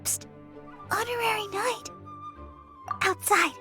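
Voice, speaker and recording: Very high, sweet teenage girl. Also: takes it slowly, music in the background. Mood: reassuring. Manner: gentle, reassuring, cheery. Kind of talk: anime-style video game voice acting.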